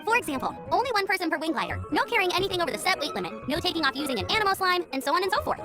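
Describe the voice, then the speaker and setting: A woman's voice: extremely high pitch, sing-song, racing, over music. A woman playing a cheerful, eager teenage girl scout in a video game, earnest and informal. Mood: perky, earnest.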